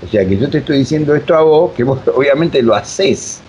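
A mature man with an Argentine accent explaining reflectively as an interview guest, with emphatic repetition and deliberate pauses. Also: medium pitch, lively intonation, darkish tone. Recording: dull lo-fi sound, faint noise.

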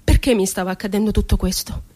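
An actress playing a bitterly ironic psychiatric inmate in a radio theatre monologue, telling her story in a deliberate, dramatic way. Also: medium pitch, fairly even intonation, mellow, close to an everyday voice. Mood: frightened, anguished. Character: woman psychiatric inmate recounting asylum horrors, bitterly ironic. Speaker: stage actress.

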